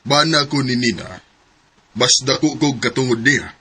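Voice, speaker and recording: An earnest, protective male boss in love in a romantic drama. His voice is bright and forward at a medium pitch, with a Cebuano accent. There is clear noise behind him. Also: measured pace. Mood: firm, defiant. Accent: Cebuano Filipino. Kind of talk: Cebuano radio-style romantic drama acting.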